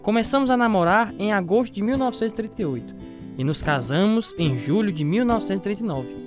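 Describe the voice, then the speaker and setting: Earnest boxer, guitar music behind. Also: male voice, sits high, animated, quick pace. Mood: reflective. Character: earnest former boxer recounting his life. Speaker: actor narrating a radio drama. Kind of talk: first-person dramatised narration.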